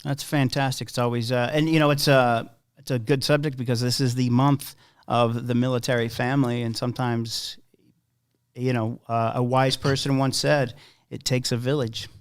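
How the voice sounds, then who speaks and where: A man's voice: mid-range voice, little pitch movement, normal speed, clear, resonant tone. A man hosting a casual interview podcast, friendly, asking questions with frequent stumbling restarts.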